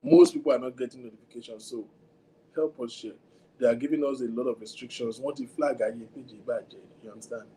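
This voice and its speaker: A man's voice: medium pitch, fairly even intonation, fast talker, warm tone, a little hiss or hum, poor audio. A man with a Nigerian accent hosting a casual livestream, rambling and talking straight to his viewers, with what he calls a big voice. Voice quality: self-described big voice. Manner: rambling, code-switching, chatty, talks to viewers directly. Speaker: livestream host.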